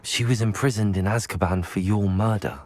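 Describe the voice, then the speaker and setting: A man playing a composed, inquisitive young wizard student in a video game, speaking in a measured way. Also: on the low side, steady tone, a little mellow, slight background noise, close to an everyday voice. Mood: earnest, questioning.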